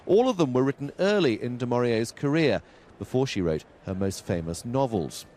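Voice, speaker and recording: A man's voice: medium pitch, expressive, normal speed, dark tone, a little hiss or hum. A man presenting on radio, giving a scripted introduction to a short story.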